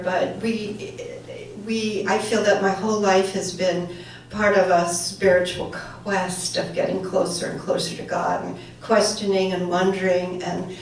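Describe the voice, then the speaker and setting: A woman's voice: on the low side, slight background noise. An older woman whose first language was Welsh, reading her poems at a luncheon and chatting hesitantly between them. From born in England, raised in central New York. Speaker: poet reading at a society luncheon. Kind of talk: poetry reading with spoken introductions. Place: banquet hall. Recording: very echoey hall from far off.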